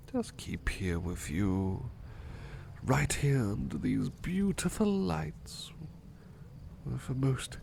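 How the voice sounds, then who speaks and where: A man playing a tender, courteous butler in love, murmuring gently and hesitantly in a soft romantic ASMR roleplay. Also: medium pitch, vocal fry, slight background noise.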